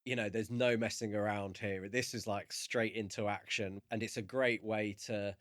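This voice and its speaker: A man co-hosting a podcast, analysing a book in casual talk with measured, halting phrasing. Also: on the low side, fairly even intonation, fairly bright tone, no echo, studio quality. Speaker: podcast co-host.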